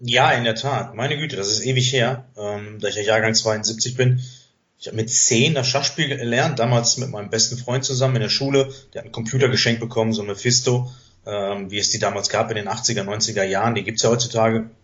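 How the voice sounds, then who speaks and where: A man's voice: lowish voice, steady tone, a little fast, a bit bright. A man in his fifties, born and raised in Germany with Greek as his mother tongue, telling his life story as a podcast guest in a rambling way, full of hesitations and self-corrections. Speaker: podcast guest, chess master. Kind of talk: casual conversational storytelling. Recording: dull lo-fi podcast audio.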